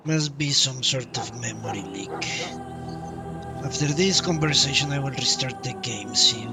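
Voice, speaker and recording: A man giving casual live gameplay commentary as a streamer, halting and musing as he goes. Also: mid-range voice, expressive. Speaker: game streamer. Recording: loud game music, distant-sounding voice. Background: game music.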